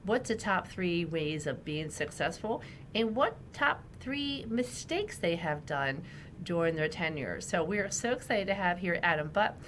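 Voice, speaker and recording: Lady podcast host, bright crisp voice, upbeat questions, low-ish pitch. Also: lively intonation, measured pace, slight background noise. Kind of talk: interview hosting.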